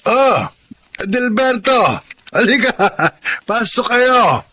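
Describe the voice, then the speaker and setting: An actor playing a lonely, gentle old widowed father in a Filipino radio drama, speaking tenderly and haltingly with a Filipino accent. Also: very high voice, animated, full character voice. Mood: delighted. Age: older, grandfather figure. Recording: faint background noise.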